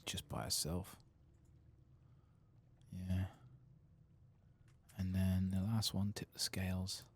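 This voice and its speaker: Slow, low-voiced man murmuring ASMR commentary. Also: flat delivery. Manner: murmured, musing to himself. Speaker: game streamer. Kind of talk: soft-spoken ASMR gameplay commentary with whispering.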